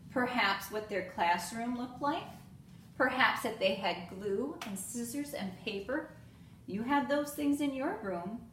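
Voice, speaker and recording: A woman reading a children's storybook aloud in an expressive way, as a teacher does for young children. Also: fairly high pitch. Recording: echoey room with faint noise.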